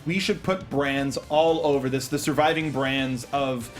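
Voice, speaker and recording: A man co-hosting a casual film review show, talking through production trivia in a halting way, repeating words as he restarts. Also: mid-range voice, faint music behind. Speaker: talk show co-host.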